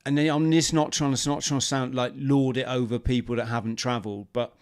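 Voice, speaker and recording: A man hosting a podcast in relaxed conversation. His voice is smooth and clear, and he often trails off and starts his sentences again. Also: medium pitch, fairly quick. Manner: hesitant, many restarts and fillers. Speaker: podcast host. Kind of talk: casual interview chat.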